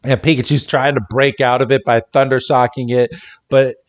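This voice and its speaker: A man co-hosting a podcast in a casual, halting recap style, acting out lines as he goes. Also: medium pitch, level tone, lo-fi sound. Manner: halting, acts out characters' lines. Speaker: podcast co-host. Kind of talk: casual episode recap chat.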